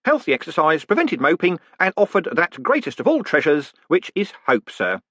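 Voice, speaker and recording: A man's voice: a little high, big pitch swings, moderate pace, fairly bright tone, no echo, pitched above the performer's own voice. A man voicing a cheerful, deferential prison warden who rambles on and calls everyone sir. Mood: chatty.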